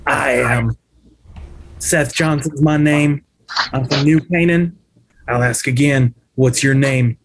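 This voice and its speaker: A man's voice: mid-range voice, steady tone, slow, long pauses, a little hiss or hum. A man playing a confrontational frontier horseman at a western table read, blunt and suspicious in his questioning. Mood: suspicious, demanding. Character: wary, confrontational rifle-carrying frontier horseman.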